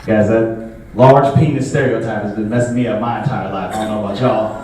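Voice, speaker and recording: A young man performing a stand-up comedy set in a relaxed, conversational way, full of filler words. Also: fairly low pitch, brisk. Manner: laid-back, conversational, frequent filler words. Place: comedy show venue with a live audience. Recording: echoey room, distant mic, audible noise.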